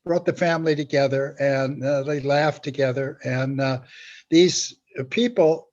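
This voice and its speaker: A man in his eighties reminiscing casually as an interview guest, in a halting, rambling way with repeated words. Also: mid-range voice, darkish tone, lo-fi sound. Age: 80s. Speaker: guest, veteran script writer.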